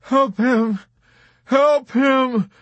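A man's voice: very high voice, fairly even intonation, drawn-out words, a bit bright, dry recording, lo-fi sound, pitched above the performer's own voice, heavily acted. A man voicing a hysterical young male pilot in an audiobook, weeping and pleading desperately. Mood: sobbing, begging. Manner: sobbing, pleading.

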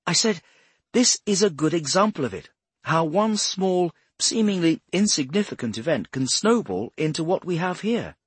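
A man's voice: on the high side, expressive, normal speed, husky, no room sound, voice pushed up. A man voicing an earnest, brainy young woman analyst who explains things precisely and insistently in an audiobook. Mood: earnest explaining.

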